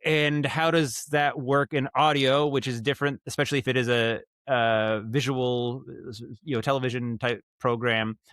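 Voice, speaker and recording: Clear-voiced man thinking aloud. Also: mid-range voice, steady tone, a bit bright, no room sound. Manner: halting, thinking aloud, circling back on thoughts. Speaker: podcast guest, writer and director of audio dramas. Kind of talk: casual conversational interview.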